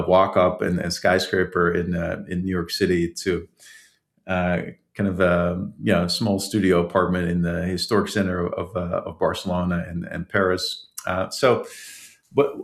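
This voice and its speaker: A man's voice: low-pitched, steady tone, unhurried. A man from the Netherlands, a guest author, answering interview questions in a hesitant way with many restarts and small laughs. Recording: roomy echo.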